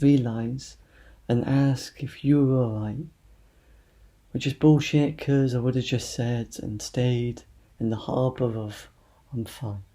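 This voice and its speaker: Very dark-voiced man reading poetry. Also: medium pitch. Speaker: poet. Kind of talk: spoken-word poetry reading.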